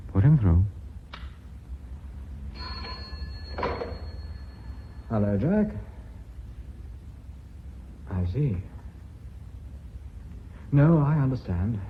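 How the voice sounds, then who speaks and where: Brisk British company boss, very expressive. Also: male voice, on the low side. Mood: tense. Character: Julian, brisk male company boss chairing a board. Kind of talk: British radio drama acting. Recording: thin, phone-like, noisy old radio recording.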